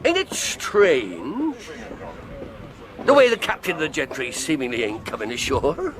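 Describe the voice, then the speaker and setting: An actor playing a wily, genial, scheming pirate sea cook in a radio drama. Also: male voice, medium pitch, big pitch swings, a little slow, a crowd in the background. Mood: wheedling, genial.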